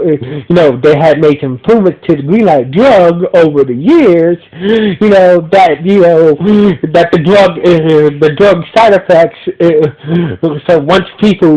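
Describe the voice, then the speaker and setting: A man's voice: a little high, dark tone, rough recording. Stuffy-nosed man rambling through a recap. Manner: halting, many restarts and fillers, keeps saying "so basically". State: stuffy nose. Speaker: podcast host reviewing a TV episode. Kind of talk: casual unscripted recap.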